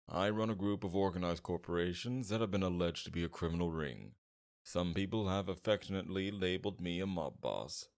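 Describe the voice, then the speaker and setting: A man playing a smug, flirtatious and menacing mob boss in an ASMR roleplay, with a suave, teasing delivery and a gravelly voice. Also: low voice. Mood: businesslike. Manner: teasing, suave, drawn-out flirtation with veiled threats. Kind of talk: ASMR roleplay, scripted character acting.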